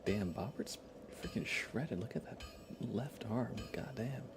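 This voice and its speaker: A man's voice: fairly low pitch, a little slow, noisy background. A man hosting a casual ASMR game livestream, chatty and informal, sometimes slipping toward a whisper. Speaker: livestream host.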